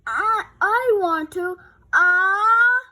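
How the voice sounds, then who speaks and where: A young girl voicing an anxious, melodramatic male elephant who sneezes, in a halting, exclamatory storybook read-aloud. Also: very high-pitched, sharp tone, exaggerated voice. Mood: worried, dramatic. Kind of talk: picture book read-aloud.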